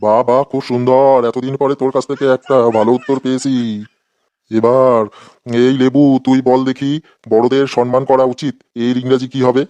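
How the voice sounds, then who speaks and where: A man's voice: mid-range voice, flat delivery, normal speed, mellow, natural voice. A man playing an irritable, sarcastic schoolteacher who threatens his pupils in a comedy cartoon. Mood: approving. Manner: scolding, sarcastic. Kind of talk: exaggerated comic cartoon dialogue.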